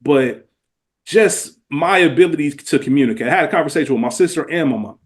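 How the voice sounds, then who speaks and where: A man's voice: a little high, a little fast. A man with an American accent talking earnestly and casually in slangy, reflective podcast conversation. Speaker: podcast guest or co-host.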